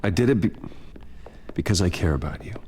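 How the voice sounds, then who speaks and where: An actor playing an earnest, brooding wealthy young man in a video game drama, gravelly, gentle and hesitant as he reassures. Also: low-pitched, animated, fairly quick, slight background noise. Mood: concerned, tender.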